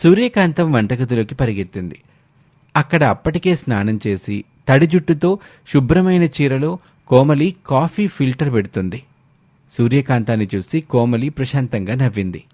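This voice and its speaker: A man reads a scripted family tale as its narrator. His voice is dark and relaxed at a middle pitch, recorded very dry and close. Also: normal speed, no echo.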